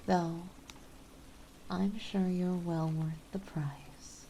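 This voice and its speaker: A woman playing a genteel, possessive vampire mistress in an ASMR roleplay, speaking softly, politely and soothingly. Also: lowish voice, steady tone, slight background noise, rough recording. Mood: coolly possessive. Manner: soothing, softly spoken, polite, unhurried, doting. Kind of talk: ASMR roleplay acting, partly whispered.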